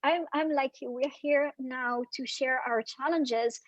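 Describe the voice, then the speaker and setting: A woman in her forties from Syria, a life and career coach, speaking reflectively as an interview guest with frequent pauses and fillers. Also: high voice, fairly even intonation, no room sound, muffled recording. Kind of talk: guest in casual video interview.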